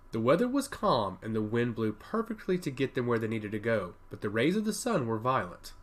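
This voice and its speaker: Man narrating fantasy with lively, varied pitch. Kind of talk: scripted fantasy audiobook narration.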